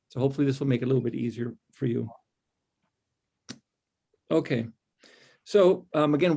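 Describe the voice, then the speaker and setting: A man's voice: a little high, mellow. A man chairing a city board meeting over a video call, speaking in a friendly, rambling way with many fillers.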